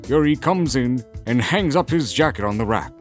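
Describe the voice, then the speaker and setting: A man's voice: medium pitch, animated, moderate pace. A man narrating a scripted audio fantasy story in a dramatic storytelling style. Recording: music bed under the voice.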